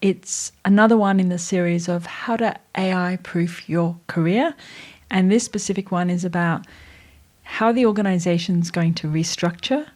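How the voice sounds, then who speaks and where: Australian woman, lowish and slightly croaky, conversational explainer with a dry aside here and there. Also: normal speed, a little mellow. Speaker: educator on careers and AI. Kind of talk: solo explainer video.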